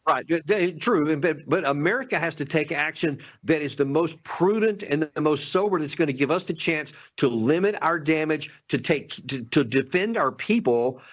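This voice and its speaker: A man's voice: on the high side, normal speed, hardly any pauses. Earnest male TV guest on a dull feed. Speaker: TV news guest. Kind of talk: political analysis on TV.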